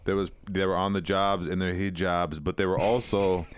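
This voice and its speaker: A man's voice: deep voice, flat delivery, normal speed, slight background noise. A man co-hosting a podcast, telling a long story in slangy casual chat and acting out the quotes. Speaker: podcast co-host.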